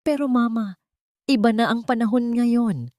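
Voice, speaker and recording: A woman's voice: fairly high pitch, measured pace, warm tone, no room sound, clean studio sound, voice pushed up, close to an everyday voice. A woman voicing a manipulative, impatient grown son who pleads and guilt-trips in an audio drama. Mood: insistent.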